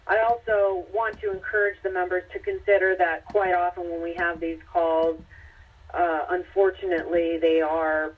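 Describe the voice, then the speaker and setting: An American woman moderating a conference call, giving formal opening remarks in a halting, measured way over the phone. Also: fairly low pitch, little pitch movement, breathy edge. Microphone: telephone conference call. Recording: tinny, muffled distant phone line.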